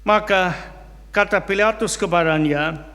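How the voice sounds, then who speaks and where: Slow, halting foreign-accented preacher in a hall. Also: male voice, high voice, pushed and bright. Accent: non-native Indonesian. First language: English. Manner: slow, halting, with frequent hesitations. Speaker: guest preacher. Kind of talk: sermon with prayer and scripture reading.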